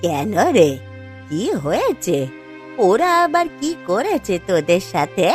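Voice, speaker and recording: A woman voicing a kindly old village granny in a cartoon story, with slow, drawn-out, motherly speech. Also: medium pitch, sing-song, darkish tone, soft background music. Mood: concerned. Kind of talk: Bengali cartoon story acting.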